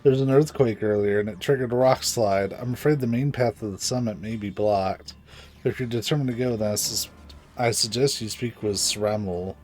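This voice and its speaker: A man's voice: lowish voice, normal speed, darkish tone, close to an everyday voice. A man reads as a wary mountain local in a video game, emphatic and cautionary. He sounds reluctant yet helpful, with faint music underneath. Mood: cautioning. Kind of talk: reading game dialogue aloud.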